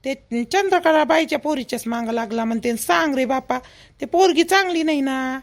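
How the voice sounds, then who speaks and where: A woman's voice: high-pitched, moderate pace. A woman voicing a gossipy, fretting village mother in a cartoon, with a Vidarbha Marathi accent and chatty, emphatic, repetitive talk. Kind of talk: homemade cartoon family dialogue.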